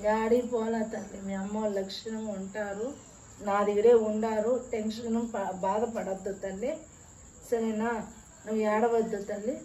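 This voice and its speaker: An actress playing a gentle, all-knowing village mother goddess who speaks softly and consolingly. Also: medium pitch, level tone, normal speed, roomy sound, a little hiss or hum, lightly acted, low-quality recording. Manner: soft, consoling, sermon-like.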